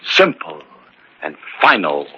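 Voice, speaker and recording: A man narrating an old-time radio mystery in a deliberate, ominous, scripted style. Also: rumbling low, huge pitch swings. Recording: very noisy, dull old radio recording.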